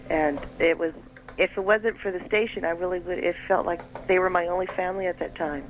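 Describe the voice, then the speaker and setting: A woman calling in to a radio show by telephone, reminiscing in an expressive, talkative way. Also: on the low side, fairly quick, low-quality recording. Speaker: phone-in caller. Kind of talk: casual reminiscing. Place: on a phone line to a radio studio.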